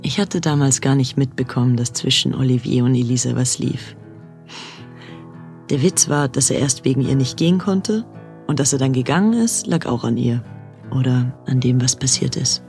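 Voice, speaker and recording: A man's voice: mid-range voice, moderate pace, creaky, darkish tone, lightly acted. A man acting as a matter-of-fact narrator who sets the scenes in a radio drama. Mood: reflective. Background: music.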